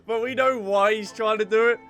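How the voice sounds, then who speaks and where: A man's voice: high-pitched, steady tone, a little fast, over loud music. A man doing casual, jokey let's play commentary as a gaming creator, playfully talking to the characters.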